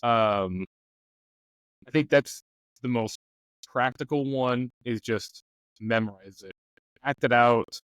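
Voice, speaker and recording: A man gives casual advice as a panelist on a tabletop gaming show. His voice is clean and smooth at a medium pitch. It is recorded dry, with hardly any room sound. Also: slow, pauses often, a bit bright, no echo, rough recording. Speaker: game master giving advice as show panelist.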